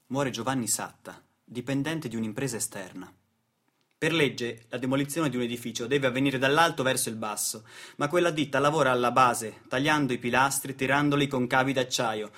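A man delivering a dramatic narrative monologue in deliberate short phrases, like a stage storyteller. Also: mid-range voice, a bit bright.

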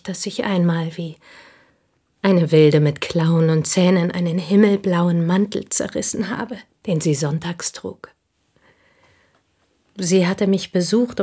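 An actress playing a plain, bitter woman in a radio play, confiding old envy in an intense, intimate way that often slips into breathy whispers. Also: fairly low pitch, a little mellow. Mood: bitter, confessional. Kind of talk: German radio play dialogue, intimate monologue.

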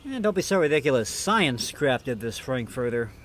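A man's voice: medium pitch, big pitch swings, moderate pace, fairly bright tone, a little hiss or hum. A man playing a fussy, self-serious and encouraging grilling mentor in a comic radio skit. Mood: indignant. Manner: exacting, encouraging.

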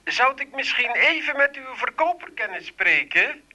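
A flustered caller on a phone line. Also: male voice, very high-pitched, big pitch swings, bright, forceful tone, full character voice. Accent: Amsterdam. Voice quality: halting, bewildered. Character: muddled male caller, flustered and confused. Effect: telephone filter. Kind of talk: radio play acting.

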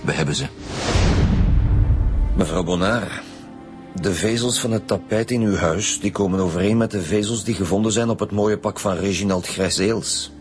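A male actor playing a firm, methodical chief police inspector who questions in a measured, businesslike way in a radio crime drama. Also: fairly low pitch, over music, lightly acted. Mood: confident, pressing.

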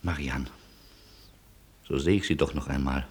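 A freethinking refugee in a German radio drama. His voice is deep with a husky edge. He speaks with rueful irony and resigned wit. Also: fairly even intonation, normal speed, full character voice. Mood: ironic resignation. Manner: ironic, rueful, given to philosophical quips. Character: Jakubowski, wry, resigned wandering refugee, a freethinking European. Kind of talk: German radio play acting with narration.

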